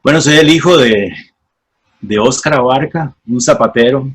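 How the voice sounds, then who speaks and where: A man in his forties from Costa Rica with a Costa Rican accent, giving a reflective, unhurried testimony as a guest on a radio interview, with many pauses and fillers. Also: mid-range voice, a little hiss or hum. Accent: Costa Rican Spanish. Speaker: guest, former missionary.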